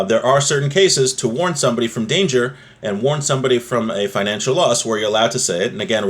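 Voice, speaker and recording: A man giving an informal religious lesson, explaining a text in a conversational way and often checking in with the listener. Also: medium pitch. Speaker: religious teacher.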